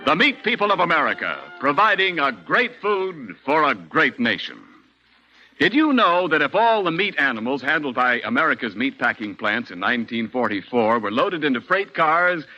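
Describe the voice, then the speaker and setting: A man announcing on a 1940s radio broadcast with a booming, showy delivery. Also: bright, forceful tone, muffled recording.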